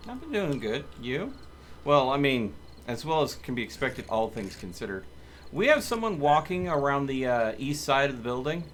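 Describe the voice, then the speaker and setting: A man's voice: mid-range voice, sing-song, moderate pace, a bit bright. Man chatting through live game commentary. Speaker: streamer hosting a multiplayer game. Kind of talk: casual live gameplay commentary. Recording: faint background noise.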